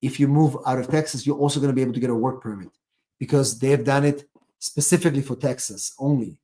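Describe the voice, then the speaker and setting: A man's voice: mid-range voice, fast talker, warm tone. A man speaking off the cuff as an attorney hosting a live question-and-answer stream, emphatic and prone to repeating key phrases.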